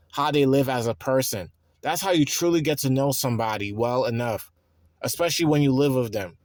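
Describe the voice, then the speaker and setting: A man in his thirties, tired and stressed, talking in a rambling, halting way with frequent restarts in a reflective personal monologue. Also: mid-range voice, dry recording, clean studio sound. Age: 30s. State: tired and worn out. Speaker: vlogger.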